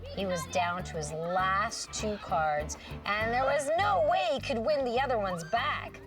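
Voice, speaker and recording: A woman's voice: mid-range voice, expressive, unhurried, far from the mic, music in the background. A woman hosting a children's show, reading a storybook expressively with dramatic little interjections and questions for young listeners.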